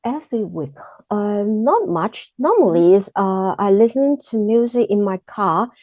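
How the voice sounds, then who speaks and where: Woman, Cantonese accent, native Cantonese speaker, hesitant broken English, mellow warm tone, dry close sound. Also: mid-range voice, lively intonation, no echo. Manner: halting, searching for words in simple English. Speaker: exam candidate. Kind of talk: mock speaking exam answers.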